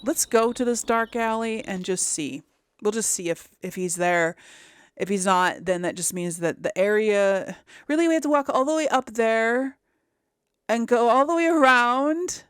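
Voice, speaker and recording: A woman's voice: on the high side, expressive, a little slow, smooth, no echo, clean studio sound. A woman giving casual video game commentary, thinking aloud as she chats to her audience. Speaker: host of a video game let's play.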